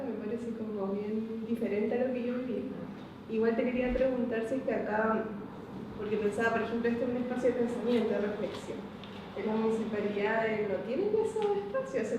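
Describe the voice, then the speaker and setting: Chilean woman in a very echoey room. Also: fairly high pitch. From Santiago, Chile. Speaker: audience participant, former municipal worker. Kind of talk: informal comment and question at a public discussion. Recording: very echoey room, distant mic, noisy.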